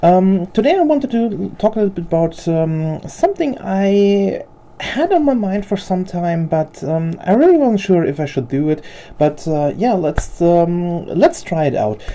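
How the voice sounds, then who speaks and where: A woman's voice: lowish voice, expressive, unhurried, dark tone. A young woman whose first language is German, hosting a casual show-and-tell about her collection in a hesitant way, with many fillers and restarts. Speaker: figure collector video host.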